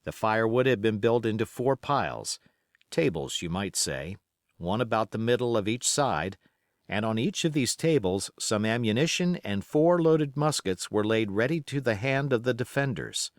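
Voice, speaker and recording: A man reading literary narration as an audiobook, expressive and measured in his storytelling. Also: on the low side, no echo, clean studio sound.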